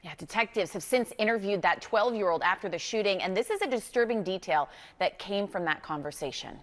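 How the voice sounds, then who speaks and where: A woman's voice: medium pitch, brisk, a bit bright, in a large hall. A woman with an American accent anchoring live television news and interviewing a guest.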